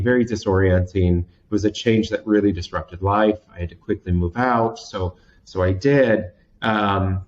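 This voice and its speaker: A man's voice: on the low side, dull audio. A man in his thirties from Washington State, telling a personal story as an interview guest in a theatrical, self-mocking way with many restarts. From Washington State, USA. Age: 30s. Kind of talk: candid storytelling in conversation.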